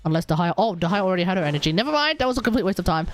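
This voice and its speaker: Lively, high-voiced Australian male game streamer. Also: rapid-fire, slight background noise. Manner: rambling, talking to himself. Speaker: game livestreamer. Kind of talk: casual gameplay commentary.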